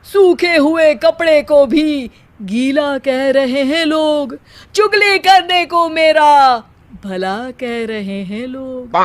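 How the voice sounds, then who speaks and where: Woman acting high-pitched, theatrical lazy young man. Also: takes it slowly. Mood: aggrieved. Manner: theatrical, declaims in rhyming couplets. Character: lazy young son-in-law spouting cheesy rhymes, dramatic, self-pitying. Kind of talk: cartoon story acting.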